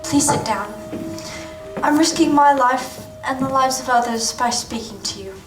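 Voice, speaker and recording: A woman's voice: high-pitched, measured pace, over music. A woman playing a brave, desperate young woman from a thieves' gang in a staged radio play, urgent and secretive. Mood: urgent, pleading. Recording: echoey stage recording from far off.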